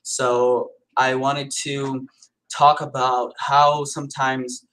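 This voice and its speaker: A Brazilian painter with a Portuguese accent, speaking hesitantly with many fillers in a live-stream interview. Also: male voice, medium pitch, flat delivery, slow, fairly bright tone, low-quality recording. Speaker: painter guest.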